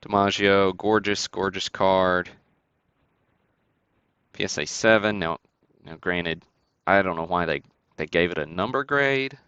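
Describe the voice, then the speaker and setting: A man's voice: low voice, measured pace, sharp tone, clean tone, no room sound, muffled recording. A man hosting a sports card break livestream, casually calling out cards and buyers and chatting to viewers in an easygoing way.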